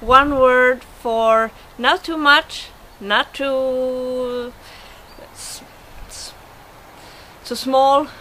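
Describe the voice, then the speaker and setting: Very slow, bright Swedish woman. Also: sits high, fairly even intonation, a little hiss or hum, rough recording. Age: middle-aged. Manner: slow, halting delivery with careful word-by-word pronunciation. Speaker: vlogger teaching Swedish words. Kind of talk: informal language lesson to camera. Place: outdoors by a wooden fence in a garden.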